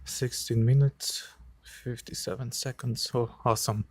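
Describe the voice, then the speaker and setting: A man in an unedited late-night vlog, speaking quietly and hesitantly with many pauses and fillers, cold and shivering a little into a handheld phone outdoors. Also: mid-range voice, fairly even intonation, no echo. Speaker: vlogger. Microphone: phone held by hand.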